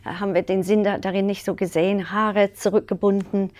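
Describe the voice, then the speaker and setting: A middle-aged woman from England, telling her life story as an interview guest in a lively way, with frequent laughs and fillers. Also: mid-range voice, fairly quick.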